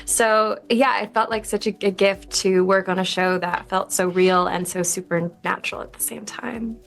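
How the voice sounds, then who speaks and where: A woman's voice: medium pitch, steady tone, moderate pace, gravelly, fairly bright tone, faint music behind. A woman speaking casually as an actress in a promotional interview.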